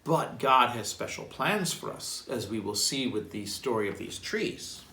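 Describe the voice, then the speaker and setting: A man, a priest, reading a children's story aloud in an expressive, deliberate way and adding reflective asides. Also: medium pitch, slight background noise. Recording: roomy echo, distant microphone.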